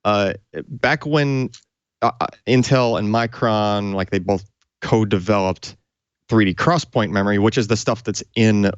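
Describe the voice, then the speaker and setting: A man's voice: fairly low pitch, measured pace, smooth, no room sound, lo-fi sound. A man casually explaining technical hardware as a tech show co-host, in an informal, conversational way.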